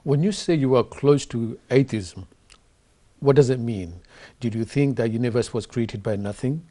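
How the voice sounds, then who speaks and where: A man's voice: mid-range voice, moderate pace, dark tone. A man in his thirties hosting a studio interview, asking earnest, eager questions with many fillers. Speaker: host. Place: TV studio set.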